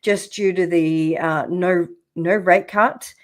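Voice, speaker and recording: A woman hosts a relaxed livestream while getting over the flu, so her voice comes out croaky. She talks at an unhurried, drawn-out pace, rambling and filling pauses as she goes. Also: fairly low pitch. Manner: chatty, rambling, many fillers. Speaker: crypto livestream host. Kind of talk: casual livestream chart talk. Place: home setup, camera off.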